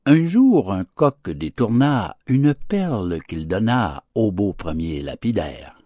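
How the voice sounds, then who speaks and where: A low-voiced man narrates fables for an audiobook. His delivery is slow and theatrical, with richly varied intonation and a declamatory manner. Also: no echo, studio quality. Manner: declamatory, expressive.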